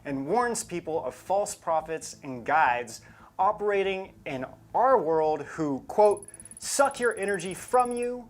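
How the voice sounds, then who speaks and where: A co-host narrating in a conversational but scripted commentary style, with emphatic delivery on quoted material. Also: medium pitch.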